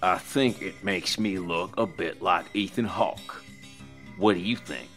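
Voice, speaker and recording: A man putting on a crude old trucker with a deep, low voice. He mutters and drawls, teasing in a rough, quiet country way. Faint music plays behind him. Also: measured pace. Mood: indignant. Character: gruff, crude older male trucker, teasing.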